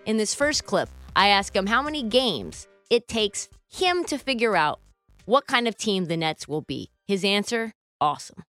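A woman's voice: mid-range voice, big pitch swings, normal speed, fairly bright tone, dry recording, faint music behind. A woman hosting a sports talk show in a conversational style.

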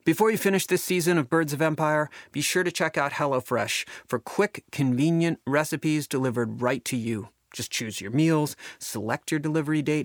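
A man's voice: mid-range voice, no echo, clean studio sound. A man reading a scripted podcast advertisement in a conversational style. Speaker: advertisement reader.

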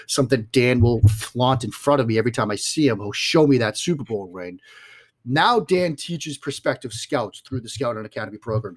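A man in his forties speaks with a Boston accent and a mid-range voice. He is a guest analyst on a sports show, talking conversationally and stacking up repeated phrases for emphasis. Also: medium pitch. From Boston area, United States. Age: 40s.

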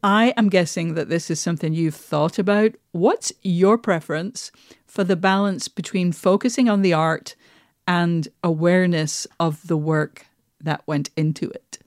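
A woman's voice: lowish voice, measured pace, a little mellow, no room sound. Woman chatting candidly in dry sound. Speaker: podcast co-host and writer. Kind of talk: casual reflective conversation.

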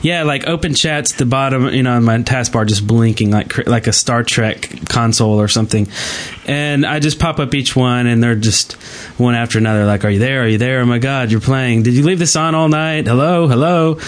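A man's voice: medium pitch, a little fast. Guy hosting a gaming podcast, normal mid voice, just casual chat. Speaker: podcast host.

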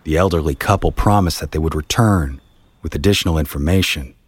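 A man narrating a scripted historical tale in a measured, solemn manner. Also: very deep voice, slightly rough, dry recording. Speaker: narrator, podcast host.

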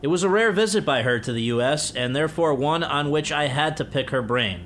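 Male interviewer with faint rumble behind. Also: animated, brisk, fairly bright tone. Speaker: interviewer and show host. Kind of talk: scripted introduction, then polite interview.

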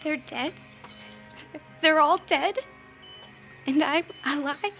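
A woman's voice: sits high, slow, vocal fry, sharp tone, soft background music, heavily acted. An actress playing a dazed, anxious teenage girl who speaks falteringly in an audio drama. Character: dazed, grieving teenage party girl in hospital.

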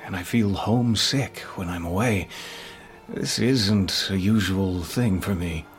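A man's voice: low voice, a little slow. A man playing a guarded, teasing character with hesitant, understated delivery in an audio drama. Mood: hesitant, sincere. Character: teasing, guarded man slowly admitting he belongs. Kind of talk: acted fan fiction audio drama.

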